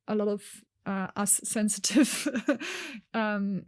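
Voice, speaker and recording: A woman's voice: medium pitch, takes it slowly, dry recording. A woman coach raised in Nepal, speaking earnestly and expressively in a conversational interview, with emphatic points.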